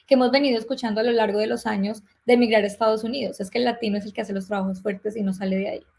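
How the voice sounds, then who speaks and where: Colombian woman telling lively stories. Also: fairly high pitch, rapid-fire, creaky. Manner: lively storytelling, plays out remembered dialogue. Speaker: guest. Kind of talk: casual interview talk.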